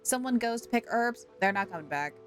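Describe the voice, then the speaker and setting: High, animated young woman streamer. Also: brisk, clear, resonant tone, faint music behind. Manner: playful, giggly, exclamatory. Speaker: game livestreamer. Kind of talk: casual gaming stream commentary and chat.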